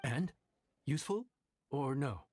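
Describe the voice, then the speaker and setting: A man playing a confident, easygoing young cook in an anime-style video game, with a casual, self-assured delivery and a husky voice. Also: medium pitch, animated, unhurried, darkish tone, slight background noise, natural voice. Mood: cocky.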